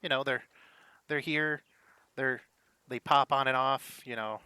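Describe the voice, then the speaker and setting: A chatty male halfling musician, as a game master voices him rambles, boasts and cracks jokes. The voice is bright and forceful, and the pitch sits in a middle range. The scene comes from a tabletop game played around a table. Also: fairly even intonation, unhurried, clear, resonant tone. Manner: rambling, teasing, fond of jokes.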